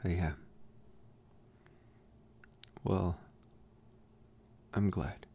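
A young man playing a protective bully with a secretly tender side in an intimate ASMR roleplay, speaking softly and haltingly with gruff care. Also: low-pitched, a little hiss or hum, exaggerated voice. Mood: bashful, tender. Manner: halting, soft-spoken, gruffly caring.

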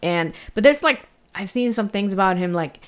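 A woman's voice: medium pitch, animated, moderate pace, clean tone. A woman with a slight Spanish-influenced accent, co-hosting a casual true crime podcast and telling the story in a chatty way with fillers.

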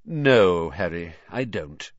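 Guy doing an old wise headmaster, kindly, measured, audiobook style, voice rises and dips a lot. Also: sits low, big pitch swings, dry recording, highs cut off, exaggerated voice. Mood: gentle, grave. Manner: gentle, measured. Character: elderly wise headmaster, calm, kindly, faintly amused. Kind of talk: audiobook character voice.

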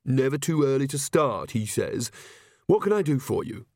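A man's voice: mid-range voice, very animated, normal speed, a little mellow, dry recording, studio quality. A man voicing an earnest, bossy young queen and new mother who is easily indignant, in a storybook reading.

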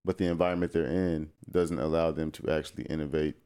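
A podcast host man with a very deep voice, noticeably quieter than his co-host. He talks in a measured way and steers the show to its sign-off. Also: flat delivery, clear, resonant tone, no room sound, studio quality. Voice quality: quiet, low voice. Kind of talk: casual chat.

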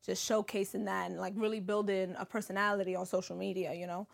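A young woman with a New York accent, chatting as a guest in an interview, brash and quick to laugh and swear. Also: mid-range voice, level tone, creaky. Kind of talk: casual interview chat.

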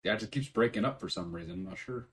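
Low-voiced laid-back male podcast host. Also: rapid-fire, fairly bright tone. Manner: laid-back, swears freely, laughs often. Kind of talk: casual chat.

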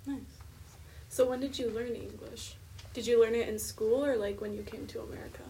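A young woman interviews guests in a casual podcast style. Her voice is on the high side. She sounds slightly away from the mic, with faint noise behind. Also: steady tone, moderate pace, gravelly, mellow, distant mic. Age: young adult.

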